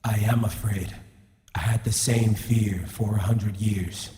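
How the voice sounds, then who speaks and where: An actor playing a bitter, righteous male warrior in an anime fan dub, speaking in a solemn, deliberate, dramatic way. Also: on the low side, fairly even intonation, warm tone, close to an everyday voice. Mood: grave, bitter. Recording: echoey, long cavernous reverb.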